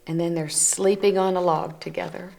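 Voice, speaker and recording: A woman reading a picture book aloud to children, gently explaining the pictures as she goes. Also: lowish voice, little pitch movement, moderate pace, creaky, slight background noise. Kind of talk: read-aloud storytelling for children. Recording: community TV recording.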